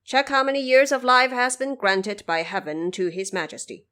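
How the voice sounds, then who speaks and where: A woman's voice: on the high side, animated, moderate pace, fairly bright tone, smooth. A woman voicing the solemn, courteous male ghost kings of the underworld in a formal, declamatory storybook style. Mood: stately, commanding.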